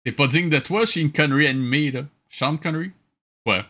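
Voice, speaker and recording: A man's voice: a little high, a little fast. A man with a Quebec French accent hosting a casual, sarcastic, joking reaction commentary. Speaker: reaction show host. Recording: clearly noisy background.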